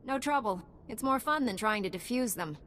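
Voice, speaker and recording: An actress playing a calm, noble warrior monk in a video game, composed and assured. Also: female voice, fairly high pitch, moderate pace, slight background noise. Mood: confident.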